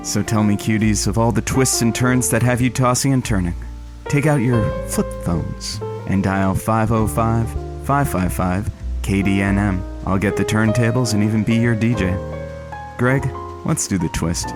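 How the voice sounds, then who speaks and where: An actor playing a radio producer filling in as host of a lonely-hearts show, wry and philosophical, a little hesitant in conversation. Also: male voice, lowish voice, moderate pace, music in the background, lightly acted. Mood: wry, showy. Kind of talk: radio call-in monologue and banter.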